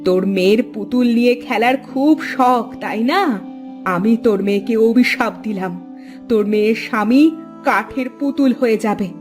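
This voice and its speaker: A woman's voice: fairly high pitch, darkish tone, close to an everyday voice. A woman voicing a wrathful, grieving mother in a cartoon, accusing and dramatic. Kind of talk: cartoon voice acting.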